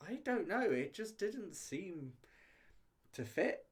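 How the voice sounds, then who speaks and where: A man's voice: high-pitched, very animated, takes it slowly. A man giving a casual, chatty video review, talking in a hesitant, rambling way with frequent hedging and self-interruption. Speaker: reality show reviewer.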